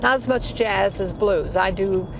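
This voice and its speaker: A woman's voice: mid-range voice, a little slow, vocal fry. Brief: woman, 80s, rambling storyteller, outdoors with audible traffic noise behind her. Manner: chatty, rambling, many restarts. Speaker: guest, singer. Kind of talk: interview storytelling.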